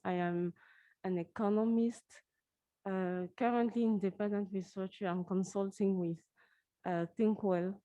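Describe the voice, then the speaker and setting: A woman researcher introducing herself at a meeting, pausing between short phrases. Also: medium pitch, mellow, no room sound. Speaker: economist, independent researcher. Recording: dull, muffled meeting audio.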